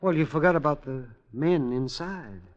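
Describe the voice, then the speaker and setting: An actor playing an excitable, desperate man, agitated and pleading, in an old radio drama. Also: on the high side, normal speed, lo-fi sound, close to an everyday voice. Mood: urgent. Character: excitable, desperate male witness to a crashed spacecraft. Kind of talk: 1950s radio drama acting. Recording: vintage radio recording, faint noise, clicks.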